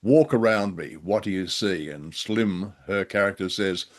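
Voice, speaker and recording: A man with a fairly low voice talks as a podcast co-host in an unscripted discussion. He is polite and wry, and his phrasing halts and hesitates as he searches for words. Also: measured pace. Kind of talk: unscripted discussion of old-time radio drama.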